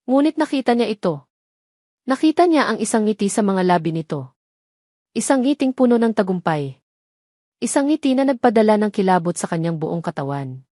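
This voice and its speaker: A woman's voice: mid-range voice, warm tone, no room sound, studio quality. A woman narrating a dramatic romance story from a script.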